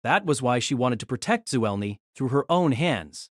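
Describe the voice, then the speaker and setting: A woman's voice: very deep voice, expressive, normal speed, no room sound, clean studio sound. A woman narrating a scripted, dramatized story as its narrator.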